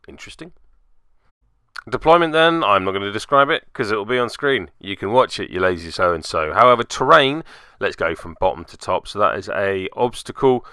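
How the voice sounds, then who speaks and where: A man's voice: on the low side, lively intonation, normal speed, sharp tone, smooth, no echo, studio quality. A man hosting a tabletop game web series, giving chatty voice-over commentary with wry, cheeky asides. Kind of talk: casual scripted-feel commentary over game footage.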